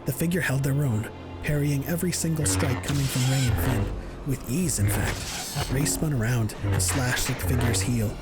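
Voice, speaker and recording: A man's voice: medium pitch, normal speed, loud music behind the voice. A man delivering dramatic scripted narration as the narrator of an audio drama. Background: dramatic score.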